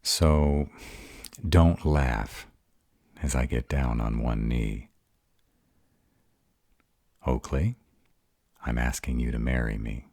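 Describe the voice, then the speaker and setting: A man voicing a bashful, awkward male were-dragon in love, halting and earnest, in a romantic roleplay audio. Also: extremely low pitch, fairly even intonation, darkish tone, no echo, clean studio sound, exaggerated voice. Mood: nervous, earnest.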